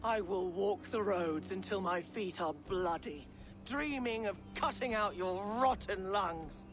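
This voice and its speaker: Game role, female actor: haughty defeated noblewoman, bitter and scornful, slow delivery over music. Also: medium pitch, natural voice. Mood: bitter defiance. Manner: scornful, formal. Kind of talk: cinematic video game acting.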